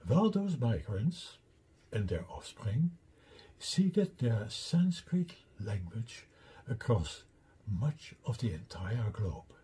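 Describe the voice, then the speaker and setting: An older man with a Dutch accent lectures over slides at an unhurried pace, and his voice is very husky. His pitch swings widely as he leads through his points with asides. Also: sing-song, dark tone. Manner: deliberate, explains step by step with asides. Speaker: lecturer presenting his own theory.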